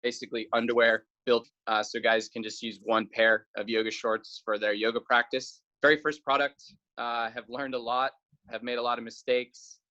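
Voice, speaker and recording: A man from South Carolina answers as an interview guest. His pitch is average and moves very little, and he is slightly hesitant. The recording sounds dull. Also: flat delivery, moderate pace, pushed and bright. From South Carolina, United States. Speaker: interview guest, company founder.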